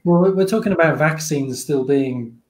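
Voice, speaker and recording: A man's voice: fairly high pitch, moderate pace, a little hiss or hum. A British man co-hosting a podcast, chatting casually and telling anecdotes with frequent restarts. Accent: British English. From Britain. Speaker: podcast co-host. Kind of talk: casual conversation.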